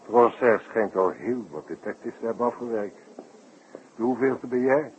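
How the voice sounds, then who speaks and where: An actor playing a genial, teasing local friend showing someone around, with a bantering delivery in a 1960s radio drama. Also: male voice, medium pitch, normal speed, darkish tone, lightly acted. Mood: teasing, curious. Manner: bantering, conversational. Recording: dull, noisy 1960s radio recording.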